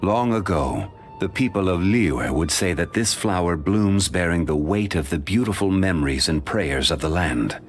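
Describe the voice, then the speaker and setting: A deep-voiced ancient stone god from a game, speaking with slow, formal composure. His low voice rises and falls with lively shifts, and soft music sits faintly beneath the studio read. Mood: gentle. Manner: measured, formal, unhurried. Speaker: voice actor. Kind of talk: game character voice lines.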